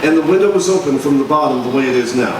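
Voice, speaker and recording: A man's voice: mid-range voice, racing, far from the mic, close to an everyday voice. A man playing a mild, skeptical, placating newspaper reporter in a 1940s-style radio drama. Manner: placating, doubtful. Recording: roomy, noisy live stage recording from afar.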